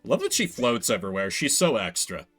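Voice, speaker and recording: A man streams a game with casual, playful commentary. His voice is bright and very animated in pitch, and his delivery is wry, with loose swearing. Faint music plays behind him. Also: brisk.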